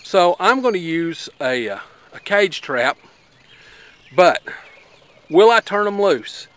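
A middle-aged man with an Appalachian accent and a fairly high voice, speaking outdoors. He talks in a folksy, plainspoken way, rambling a little and dropping in fillers as he demonstrates. Birds and rural sounds carry behind him. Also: sing-song, slow pace, a bit bright. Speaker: homesteader vlogger. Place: outdoors by a garden.

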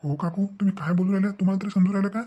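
A man's voice: high-pitched, fairly even intonation, racing, mellow, husky. A man voicing a disheartened, exasperated young family man in a cartoon, fretting and repeating himself as he rambles. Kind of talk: cartoon comedy-drama dialogue.

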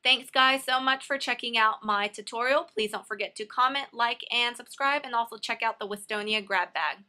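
A woman's voice: a little high, moderate pace, bright, forceful tone. A woman hosting a nail art tutorial in a casual, instructional voice-over.

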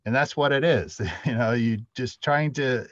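A man's voice: mid-range voice, moderate pace, a bit bright, no room sound. A middle-aged man talking casually as a panelist over a video call. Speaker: panelist, audio drama writer. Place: indoor room, on a video call. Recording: dull, lo-fi video call audio.

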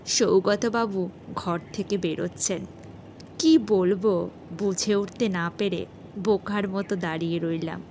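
A woman's voice: medium pitch, steady tone, unhurried, a little mellow, a little hiss or hum, lightly acted. A woman voicing a deferential, hesitant male junior policeman who reports respectfully in an audio horror story. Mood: baffled, earnest.

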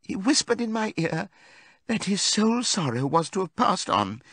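An oily pig propagandist from a political animal fable, voiced by a man. He speaks high and slow, with sentimental, theatrical mourning and a declamatory swell. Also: sing-song, a bit bright, no echo, exaggerated voice. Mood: mock mournful.

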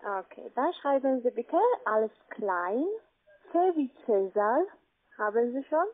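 A woman with a high voice and a foreign accent in German speaks over a tinny phone line. She gives instructions step by step like a call-center agent, insistently checking that each one is followed. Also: animated, unhurried. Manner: insistent, repeatedly checking with tag questions.

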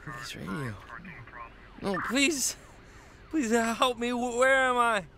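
An actor playing a troubled young male airman, narrating his story gravely in first person in a radio drama. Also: extremely high pitch, expressive, slow, very noisy, full character voice. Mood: panicked. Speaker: narrator and main character.

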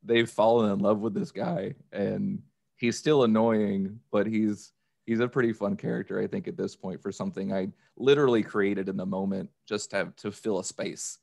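Gravelly, lowish-voiced man chatting casually. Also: steady tone, normal speed, darkish tone, no room sound, clean studio sound. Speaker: podcast guest, audio drama actor. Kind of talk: casual chat.

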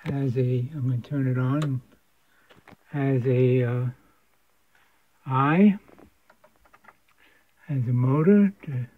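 A man giving a casual hands-on demonstration as a radio restorer, explaining things in a halting way. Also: medium pitch. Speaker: radio restorer presenting a repair. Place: indoor home electronics workshop. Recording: tinny, phone-like band-limited sound.

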